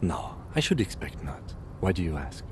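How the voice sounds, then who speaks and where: Reserved game detective, low pitch, clipped formal phrasing, dry understatement, clear urban background noise. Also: male voice, sing-song, moderate pace, a little mellow. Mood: understated, puzzled. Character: reserved, dry, sensible male police detective partner. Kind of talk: video game dialogue.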